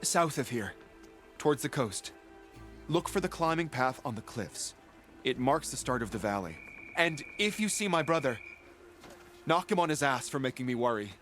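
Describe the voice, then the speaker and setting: Worried, grumbling warrior in a game. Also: male voice, mid-range voice, big pitch swings, normal speed, fairly bright tone, faint music behind. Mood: worried, exasperated. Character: worried, exasperated warrior man grumbling about reckless brother. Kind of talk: video game cutscene acting.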